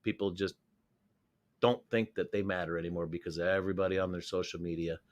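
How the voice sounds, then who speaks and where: A man's voice: deep voice, steady tone, fast talker, highs cut off. A man co-hosting a live internet radio talk show, earnest and heartfelt as he gives sincere advice to listeners. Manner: earnest, speaks in sincere pep talks. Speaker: co-host. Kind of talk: casual chat.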